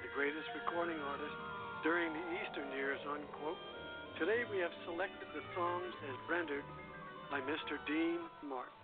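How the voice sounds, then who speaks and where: A man hosting a radio show, reading semi-scripted narration and now and then stumbling over names. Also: a little high. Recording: tinny phone-line internet radio, music behind.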